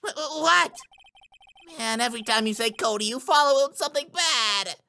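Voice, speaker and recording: A man's voice: extremely high pitch, animated, normal speed, vocal fry, bright, forceful tone, voice pushed up, heavily acted. A man voicing a young boy witness in a video game, nervous, defensive and prone to stammering. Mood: flustered.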